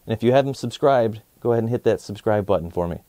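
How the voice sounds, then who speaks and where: A man's voice: fairly low pitch, fast talker, mellow, dry recording. A man in his thirties hosting a children's story channel and narrating a picture book. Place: small indoor set, dry sound.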